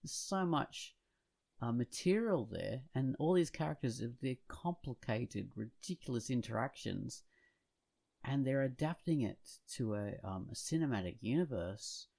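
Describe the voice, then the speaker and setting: A man's voice: mid-range voice, expressive, unhurried, creaky, darkish tone. A man talking casually as a podcast co-host, hesitant and rambling as he thinks aloud. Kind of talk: casual discussion of films and comics.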